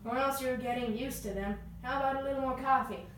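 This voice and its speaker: A woman's voice: high voice, quick pace, a bit bright. A woman playing an earnest, cautious young male inventor in an amateur radio play. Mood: resolute. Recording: echoey room, distant mic, noisy background.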